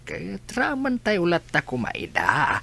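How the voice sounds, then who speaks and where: Man playing an older village uncle, husky, slow, Ilocano accent, concerned and exasperated, radio drama. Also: a little high, wildly swooping pitch, pushed and bright, exaggerated voice. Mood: exasperated, worried. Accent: Filipino, Ilocano.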